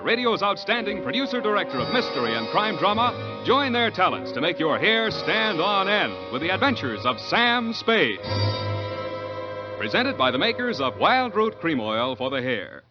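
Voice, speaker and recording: A male American announcer from 1940s radio, delivering sponsor copy and show billing. His pitch swings in a lively way. The recording is dull and aged, with some music underneath. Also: dry recording.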